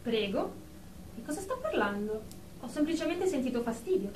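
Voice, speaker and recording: An echoey, slightly distant radio drama recording. A woman acts out a snooty, prim office lady with a fairly high voice, clipped and superior, quick to take offence. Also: sing-song. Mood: indignant. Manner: superior, clipped, indignant, formal. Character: haughty, prim, easily offended female office professional in therapy. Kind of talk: Italian radio drama acting. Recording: echoey room, distant mic, background noise.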